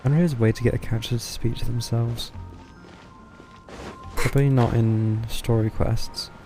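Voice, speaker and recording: A man's voice: mid-range voice, fairly even intonation, normal speed. Casual male game streamer over music. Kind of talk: casual live game commentary.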